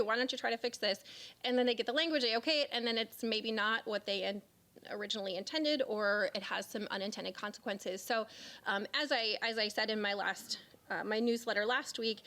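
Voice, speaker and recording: A woman's voice: on the high side, fairly bright tone. A woman state representative, speaking casually at a public forum with frequent fillers and restarts. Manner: chatty, self-deprecating, many fillers and restarts.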